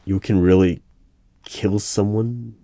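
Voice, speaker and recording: A man performs an uneasy hiring manager from a secret bureau in an audio roleplay. His voice is low and he talks very slowly, with halting pauses and a disbelieving, guarded manner. Keyboard typing sounds behind him. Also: steady tone, warm tone. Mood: shaken, disbelieving. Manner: halting, pausing, incredulous. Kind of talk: one-sided ASMR audio roleplay interview. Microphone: close microphone with keyboard typing behind.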